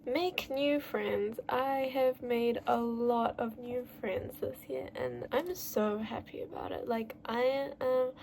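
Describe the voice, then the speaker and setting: A young woman vlogging in a casual, rambling way, full of fillers, with a naturally loud voice. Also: on the high side, creaky, slight background noise. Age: young adult. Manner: bubbly, rambling, lots of "like". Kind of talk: casual chatty monologue.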